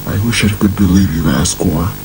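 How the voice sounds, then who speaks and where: A man's voice: deep voice, normal speed, background noise. A man voicing an angry, worn-out monster queen and mother in an amateur horror drama, accusing and emotional. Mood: bitter, weary.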